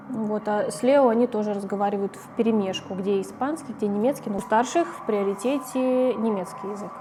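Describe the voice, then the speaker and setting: Chatty Russian-speaking mom vlogger. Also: female voice, fairly high pitch, noisy background. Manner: chatty, conversational, self-correcting. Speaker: family vlogger, mother. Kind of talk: casual talk to camera.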